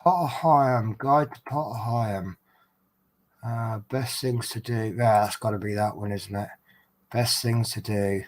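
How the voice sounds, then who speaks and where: A man's voice: fairly low pitch, fairly even intonation, measured pace. Norfolk guy rambling away at home, loads of ums, chatty. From England. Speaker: vlogger. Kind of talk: casual chat while crafting.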